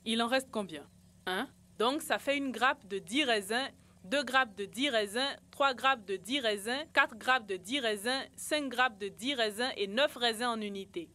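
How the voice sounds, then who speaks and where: A woman voicing a sensible, careful young friend in a children's educational cartoon, explaining things clearly. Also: on the high side, measured pace, sharp tone.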